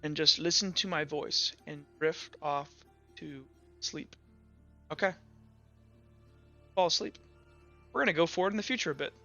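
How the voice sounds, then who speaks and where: A man voicing a calm professor of illusion magic in a tabletop roleplay, softly and hesitantly giving hypnotic instructions. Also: fairly high pitch, normal speed, pauses often, dull audio, faint music behind. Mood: calm, soothing. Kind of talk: tabletop roleplay character speech.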